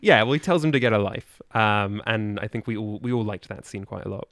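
Halting man chatting casually, very dry sound. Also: lowish voice, a bit bright, dry recording. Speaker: podcast guest. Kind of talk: casual chat.

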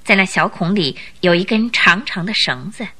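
一位女旁白以富有戏剧性的方式讲述广播剧故事。. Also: female voice, mid-range voice, expressive, moderate pace, bright, forceful tone. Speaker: narrator. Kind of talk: dramatic scripted storytelling narration.

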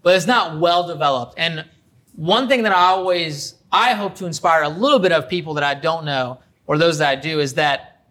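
A man hosting a casual interview, speaking haltingly and repeating words and phrases for emphasis. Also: on the high side, a bit bright. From Iran. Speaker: interview host.

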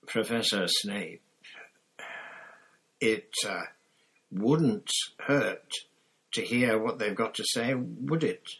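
A man's voice: lowish voice, takes it slowly, long pauses, a bit bright, slightly rough, exaggerated voice, rough recording. A man doing a breathless, timid schoolgirl. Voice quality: breathless, hesitant.

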